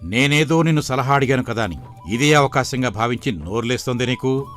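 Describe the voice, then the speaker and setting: A man's voice: mid-range voice, lively intonation, a little fast, fairly bright tone, faint music behind, lightly acted. A man playing a greedy, domineering middle-aged father in a moral story cartoon. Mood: irritated, bossy.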